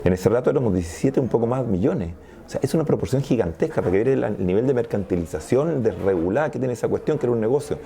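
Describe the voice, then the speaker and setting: A man's voice: mid-range voice, expressive, fast talker, vocal fry, dark tone. A Chilean man speaking as a sociologist guest in an interview, talking in a discursive, analytical way and often restarting his sentences. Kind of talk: interview conversation.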